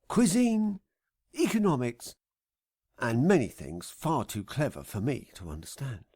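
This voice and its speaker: A man's voice: medium pitch, a little mellow, dry recording, clean studio sound. A man narrating his own comic audiobook in a theatrical, mock-poetic, wry way. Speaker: author reading his own comedy book.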